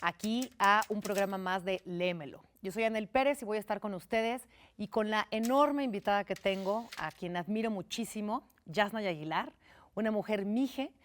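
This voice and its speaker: A woman hosts a cultural interview show on a studio set, speaking at a middle pitch. She welcomes and questions her guest with open admiration, though her questions sometimes stumble and restart mid-phrase. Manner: warm, admiring, slightly halting questions. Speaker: TV show host.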